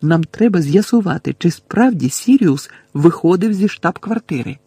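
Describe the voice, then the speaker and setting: A man's voice: on the high side, normal speed, darkish tone, slightly rough, dry recording. A man voicing an earnest, anxious teenage girl witch in an audiobook, pleading and stubbornly reasoning. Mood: urgent, stubborn.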